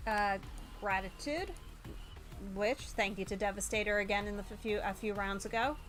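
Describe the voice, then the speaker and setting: A woman's voice: mid-range voice, moderate pace, faint music behind. A woman doing live game stream commentary, reading on-screen text aloud and breaking into exasperated exclamations. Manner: exclaims loudly in exasperation, mutters while reading. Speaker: VTuber streamer.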